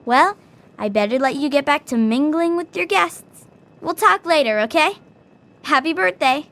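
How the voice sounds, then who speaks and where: A woman's voice: sits high, animated, normal speed, fairly bright tone. A woman playing a friendly, teasing young girl in a video game, with a playful, cheerful manner. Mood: playful, pleased. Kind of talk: video game character acting.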